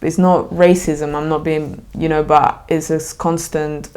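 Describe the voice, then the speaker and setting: Low-voiced reflective woman podcaster. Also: level tone, a little slow, a little mellow. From Colombia. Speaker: podcast co-host. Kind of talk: casual chat.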